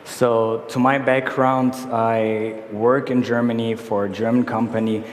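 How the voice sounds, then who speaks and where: A man's voice: mid-range voice, little pitch movement, measured pace. A man from Brazil gives a business talk in a large conference hall. He explains a slide step by step and often restarts his phrases. The sound carries a long, roomy reverb with faint hum behind it. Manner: self-correcting, explaining slides. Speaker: conference speaker. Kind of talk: conference presentation. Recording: echoey hall with faint room noise.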